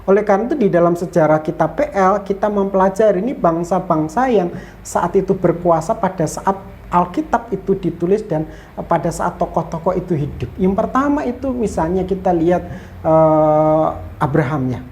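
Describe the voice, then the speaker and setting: A woman teaches in an informal lecture style. Her voice sits on the low side and is soft-edged and mellow. She explains didactically, repeating points and adding small check-in tags. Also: normal speed, slight background noise. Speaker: Bible teacher. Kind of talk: informal lecture explaining Old Testament introduction.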